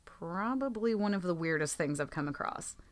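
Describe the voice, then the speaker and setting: A woman's voice: lowish voice, animated, normal speed. A woman reading scripted true scary stories as a storytelling narrator.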